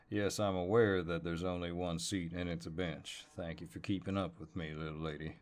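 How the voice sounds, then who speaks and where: A very low-voiced Southern cowboy, acted by a man, speaks in an unhurried, folksy drawl. He is an easygoing, playful neighbor who flirts and teases gently in an intimate audio roleplay. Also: little pitch movement, lightly acted. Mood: warm, teasing. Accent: American Southern. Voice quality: deep.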